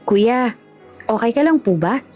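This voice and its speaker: A cheerful young porridge vendor, acted by a woman storyteller in an audio drama. She is polite, upbeat and a little teasing, pitched on the high side. Soft music plays underneath. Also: animated, measured pace. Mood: concerned, curious.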